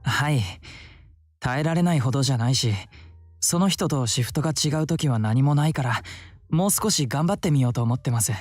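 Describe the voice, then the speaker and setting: A man's voice: medium pitch, lively intonation, very fast, dry recording, faint music behind, natural voice. An actor playing a timid, polite young man in a Japanese visual novel, speaking hesitantly and earnestly. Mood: polite, grateful.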